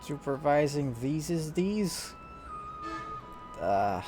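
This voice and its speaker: A woman's voice: deep voice, big pitch swings, extremely slow. A woman streaming a video game, reacting casually to the characters with playful, dry asides. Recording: game music behind the voice.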